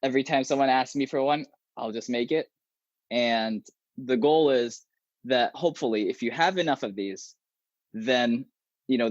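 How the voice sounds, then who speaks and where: A young man whose first language is Arabic, a software engineer talking animatedly and casually as an interview guest over a video call from a small room at home. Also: medium pitch, no echo. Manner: animated, gestures a lot, many restarts. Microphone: earbuds on a video call. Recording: dull, slightly lo-fi video call audio.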